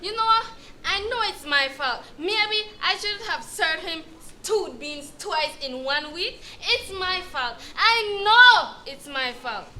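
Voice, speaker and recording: A woman's voice: very high voice, expressive, slow, bright, forceful tone, exaggerated voice. A woman playing a meek, shaken abused wife in an educational dramatization. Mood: self-blaming, distressed. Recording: clear background noise.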